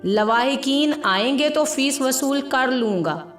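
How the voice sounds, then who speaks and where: A woman's voice: high voice, animated, slow. A woman voicing a timid, flustered male hospital assistant in a told story, hesitant and deferential. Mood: nervous, apologetic. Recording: roomy echo with music behind.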